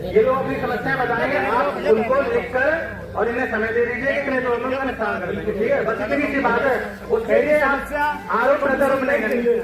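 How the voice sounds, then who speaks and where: A man's voice: very high-pitched, steady tone, brisk, far from the mic. A man leading a farmers' protest, speaking defiantly and with emphasis. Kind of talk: protest speech. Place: large indoor hall with crowd. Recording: echoey hall, crowd noise.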